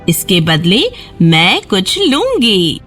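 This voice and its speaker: A woman voicing a menacing sea witch who bargains in a slow, taunting way for a children's fairy tale cartoon. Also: medium pitch, huge pitch swings, a bit bright, soft background music.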